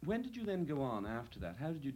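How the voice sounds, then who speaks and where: A man's voice: mid-range voice, very animated, quick pace, darkish tone, slight background noise. A man interviewing an older guest, asking polite questions with some hesitant restarts.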